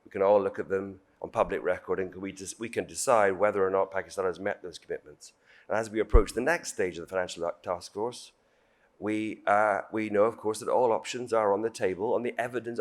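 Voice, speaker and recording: A man with a British accent and a very low voice speaks formally on a panel. He is measured and diplomatic, leaning on key phrases as an official would. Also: steady tone. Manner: measured, diplomatic, emphatic repetition.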